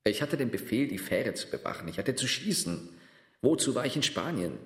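Radio drama role: a man as a bitter, self-doubting war veteran sculptor, lowish and animated, in a roomy space. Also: natural voice. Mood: self-reproachful. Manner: brooding, sardonic, halting when confessing. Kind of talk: radio play dialogue.

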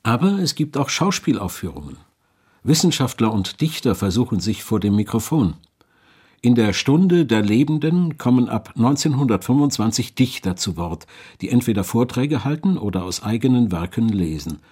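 A man's voice: medium pitch, big pitch swings, normal speed, a little mellow, breathy edge. A man reading scripted historical narration as a documentary narrator.

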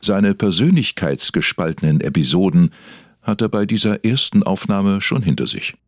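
A man with a husky voice reading scripted documentary narration in a formal, deadpan manner. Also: sits low, normal speed, dry recording, clean studio sound.

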